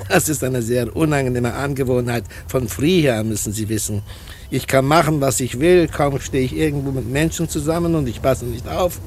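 An actor playing a smooth, over-polite pickpocket in a radio play, speaking in courteous, sly, formal phrases. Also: male voice, medium pitch, moderate pace, darkish tone, a little hiss or hum, lightly acted. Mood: sly, apologetic.

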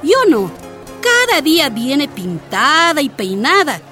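An actress playing a defiant, plain-spoken miner's wife and union activist in a radio drama, speaking with emphatic indignation. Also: female voice, sits high, wildly swooping pitch, slow, sharp tone, full character voice. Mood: scornful, confronting. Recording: music and crowd behind.

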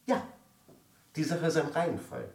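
An actor in a German radio drama playing a smooth-talking, evasive, scheming husband. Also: male voice, a little high, wildly swooping pitch, measured pace, exaggerated voice. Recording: roomy, distant, loud piano music.

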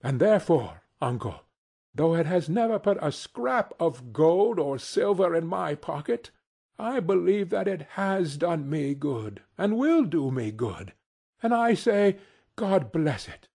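A man's voice: fairly high pitch, big pitch swings, unhurried, creaky, warm tone, slightly rough, pitched above the performer's own voice, heavily acted. A man voicing a cheerful, good-natured young nephew who speaks earnestly in a storybook.

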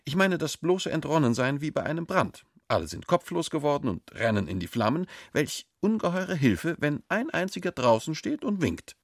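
An actor playing a stiff, moralising middle-aged schoolteacher in a radio play, speaking formally and earnestly with a pedantic air. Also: male voice, on the high side, big pitch swings, normal speed, hardly any pauses, no echo, studio quality. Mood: earnest, urgent.

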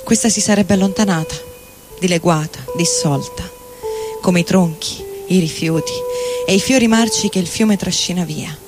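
A man's voice: sits high, normal speed, lightly acted. A man acting a tormented middle-aged lover in an anguished, literary theatrical monologue. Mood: desolate, tormented. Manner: anguished, dramatic, literary delivery. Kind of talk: theatrical literary monologue reading over music. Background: electric guitar and loops.

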